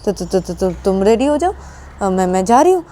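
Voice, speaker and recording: A woman's voice: mid-range voice, moderate pace, a little hiss or hum, natural voice. A woman voicing a shy, flustered young woman in a told story, stammering nervously and repeating syllables as she protests. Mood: timid, flustered.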